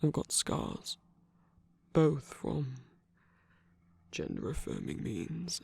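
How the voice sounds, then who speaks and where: A performer of unclear sex playing an affectionate, battle-scarred villain lover in an intimate ASMR roleplay, tender, teasing and soft-spoken, with a breathy, husky voice. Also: medium pitch, no echo, exaggerated voice. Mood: vulnerable, self-conscious.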